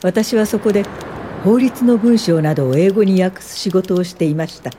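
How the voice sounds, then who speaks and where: A woman playing a composed, politely firm older welfare pioneer in a radio drama, with measured narration and dialogue. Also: mid-range voice, mellow, a little hiss or hum, close to an everyday voice. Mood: solemn, reminiscent.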